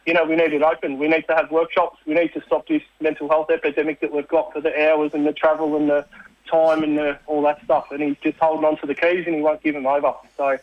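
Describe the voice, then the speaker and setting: Man, union report on radio, halting and filler-heavy, slightly high, monotone. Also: dry recording, narrow, tinny audio. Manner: blokey, rambling, many fillers. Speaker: union organiser giving a report. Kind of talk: casual radio chat.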